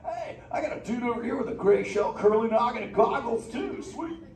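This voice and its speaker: A man's voice: very high-pitched, animated, fairly quick, darkish tone, far from the mic, faint music behind. A man playing a laid-back male surfer sea turtle in a live interactive theater show, drawling surfer slang and bantering with the crowd. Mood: playful. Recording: echoey theater heard from afar with ambient noise.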